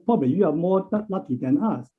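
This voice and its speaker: A man from a church congregation speaking earnestly on a video call, often pausing to search for words. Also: fairly high pitch, animated, slightly rough. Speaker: church member. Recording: tinny phone-like line.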